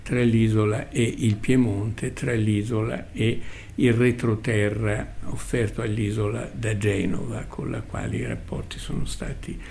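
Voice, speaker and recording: An older man reminiscing as a radio host, speaking in a halting, reflective way with repetitions and long hesitations. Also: on the low side, flat delivery, vocal fry, darkish tone, a little hiss or hum. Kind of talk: spoken reminiscence, literary commentary.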